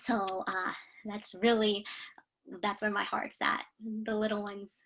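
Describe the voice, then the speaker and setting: A young adult woman speaking as a guest in a relaxed video interview. She talks at an easy, even pitch and often pauses mid-thought with "um.". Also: creaky. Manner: chatty, many "um" pauses. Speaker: speech language pathologist, guest.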